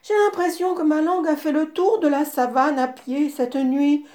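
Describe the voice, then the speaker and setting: A woman voicing a high, thirsty zebra. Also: steady tone, moderate pace, mellow, echoey room, close to an everyday voice. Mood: pleading. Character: bold thirsty male zebra.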